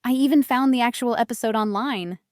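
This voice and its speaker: A woman's voice: fairly high pitch, fairly quick, background noise. A woman giving casual reaction commentary as a video host.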